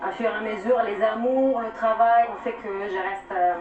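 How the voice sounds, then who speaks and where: A woman's voice: mid-range voice, fairly even intonation, normal speed. A 28-year-old woman from Italy with a light Italian accent, answering interview questions in a teasing, self-assured way. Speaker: reality show contestant. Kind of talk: televised interview. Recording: roomy, muffled, distant TV audio with music.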